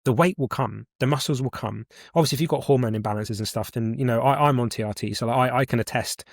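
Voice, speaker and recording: A man's voice: medium pitch, fast talker, vocal fry, dry recording. Emphatic man in his forties, dry sound. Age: 40s. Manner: enthusiastic, emphatic, repeats points. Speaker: podcast host. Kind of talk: casual podcast conversation.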